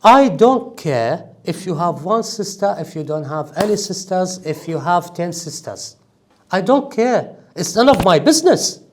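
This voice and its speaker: Guy teaching an english test class, high lively voice, jokey and emphatic. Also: a little slow, slight background noise. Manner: emphatic, animated, joking with students. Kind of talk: classroom lecture.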